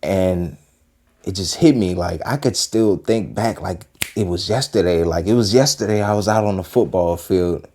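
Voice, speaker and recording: A man's voice: deep voice, moderate pace, dark tone, slight background noise. A man in his early twenties from Western Pennsylvania, talking loosely about urgency in life and reacting to a clip, with lots of fillers and repeated phrases. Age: 20s. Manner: rambling, repeats himself, many fillers. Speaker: video host reacting to a clip. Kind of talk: casual motivational talk.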